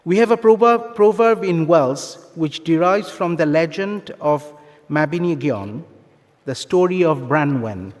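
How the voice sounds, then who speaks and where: A man giving a formal, encouraging graduation address as a university official, in earnest, measured phrases with emphatic pauses. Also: fairly high pitch, animated. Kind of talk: formal ceremonial speech. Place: large conference hall, live graduation ceremony. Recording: long reverb of large hall PA.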